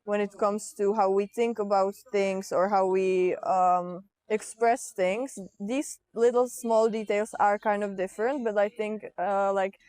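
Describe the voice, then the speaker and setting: A young woman in her early twenties from Czechia answers an interviewer in an unhurried way, full of pauses and fillers. Her mid-range voice is recorded close and dry, with no room sound. She speaks slowly and casually. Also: mid-range voice, fairly even intonation, a little mellow, no echo. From Czech Republic. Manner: hesitant, many fillers. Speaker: exchange participant.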